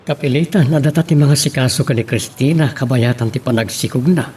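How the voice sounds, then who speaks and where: A man's voice: medium pitch, fairly quick, darkish tone, soft background music, close to an everyday voice. A man narrating a scripted Ilocano audio drama.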